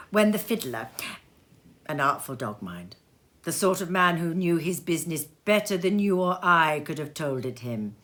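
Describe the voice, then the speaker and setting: Woman storybook reader, slightly low pitch, very lively intonation, dramatic emphasis, deliberate pauses. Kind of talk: expressive literary narration. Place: sitting room.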